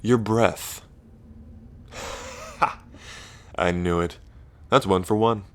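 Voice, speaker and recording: A man playing a lonely ancient dragon king in roleplay ASMR, proud yet bashful, with halting, deliberate phrasing. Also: low voice, a bit bright, heavily acted. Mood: playful, challenging. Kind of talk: roleplay ASMR audio drama.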